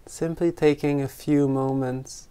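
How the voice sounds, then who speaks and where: A meditation teacher of unclear sex guiding a group in gentle, spontaneous talk, unhurried, with long pauses between short phrases. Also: mid-range voice, steady tone, clean tone. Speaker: meditation teacher leading an online retreat.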